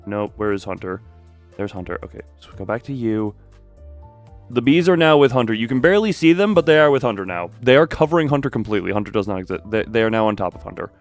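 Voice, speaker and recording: A man's voice: on the low side, very animated, fast talker, faint music behind. A man doing a casual, rambling game stream, playfully joking and talking back to his viewers.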